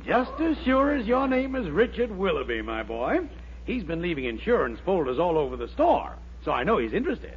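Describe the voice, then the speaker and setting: A man playing a wily, flattering storekeeper uncle with gushing, drawn-out delivery in a 1940s radio sitcom. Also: sits high. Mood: scheming, amused. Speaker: comic actor. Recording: muffled, noisy 1940s radio recording.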